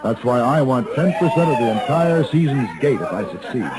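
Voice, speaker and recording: An American actor playing an urbane, sardonic gunfighter, articulate and dryly superior, in a radio western. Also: male voice, mid-range voice, animated, background noise, lightly acted. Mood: cool, dry. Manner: articulate, dry, superior, measured wit. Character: urbane, sardonic hired gunfighter, a grown man. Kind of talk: 1950s radio western acting. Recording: old radio recording, crowd murmur behind.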